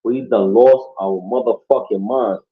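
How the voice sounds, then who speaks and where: A man's voice: on the low side, unhurried. A man from Puerto Rico talking casually and emphatically on a podcast, swearing often and checking in with his listeners. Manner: profane, emphatic, keeps asking "you know what I mean". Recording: muffled lo-fi audio.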